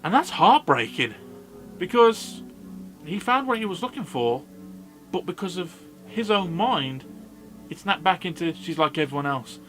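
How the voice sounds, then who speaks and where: A man's voice: sits high, big pitch swings, measured pace, fairly bright tone. A man giving a casual movie review as a video host, in a blunt, sweary, informal manner. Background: background music.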